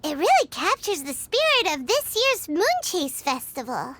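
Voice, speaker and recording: Spirited teen girl chef, extremely high voice, wildly animated, eager, bubbly, video game character. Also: a little slow, a little hiss or hum, exaggerated voice. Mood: thoughtful. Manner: bubbly, thinks aloud to herself.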